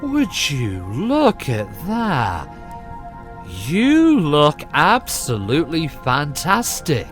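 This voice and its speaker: Male actor as rough but kindly workman, unhurried, highish animated voice, music underneath. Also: exaggerated voice. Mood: kindly, relieved. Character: rough kindly rebel workman, defiant, resourceful. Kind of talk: audio drama acting.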